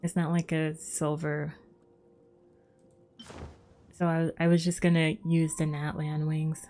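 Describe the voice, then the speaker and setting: A woman's voice: deep voice, little pitch movement, takes it slowly, warm tone, slight background noise. A woman livestreaming a game, chatting casually and musing aloud in a rambling way. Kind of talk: casual chat while gaming. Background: game music.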